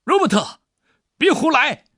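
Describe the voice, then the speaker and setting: A man's voice: extremely high pitch, sing-song, a little slow, pushed and bright, no echo, studio quality, voice pushed up, heavily acted. A man voicing a resolute, protective, fatherly lord in an audiobook, speaking emphatically. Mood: alarmed.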